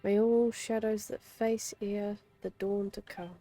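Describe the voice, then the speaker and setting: Female streamer, casual play-by-play, creaky mid-pitched voice over game music. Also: little pitch movement, slow, warm tone. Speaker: game livestream host. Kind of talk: casual gameplay commentary, reading game text aloud. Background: game music behind the voice.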